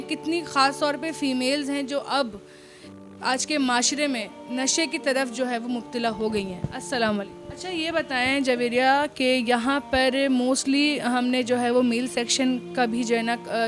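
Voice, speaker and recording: A woman with a Pakistani accent hosting an on-location interview, asking wordy questions sprinkled with some English words. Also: high voice. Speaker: interviewer and host. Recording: music laid under the voice.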